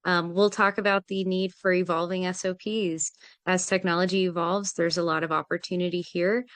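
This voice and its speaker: A woman's voice: fairly low pitch, flat delivery, moderate pace, fairly bright tone, smooth, no room sound. A woman hosting a business webinar, guiding the talk in a friendly, organized way with frequent "um"s. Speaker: webinar host, project manager.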